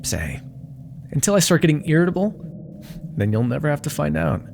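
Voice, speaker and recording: A man's voice: on the high side, big pitch swings, fairly quick, gravelly, background noise. A man voicing a vain, menacing demon crime lord in an ASMR audio roleplay, silky, theatrical and condescending, with cool threats under mock affection. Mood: smug, teasing.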